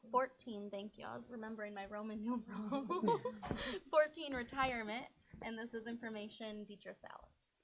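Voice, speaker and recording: A woman chairing a school board meeting, calling items and votes in a brisk, procedural way. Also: a little high, background noise. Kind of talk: running a public meeting.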